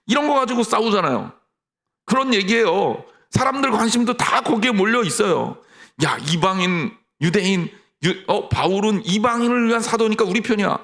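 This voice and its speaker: A middle-aged man preaching a sermon as pastor, lively, mixing mock dialogue with personal stories. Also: high-pitched, normal speed.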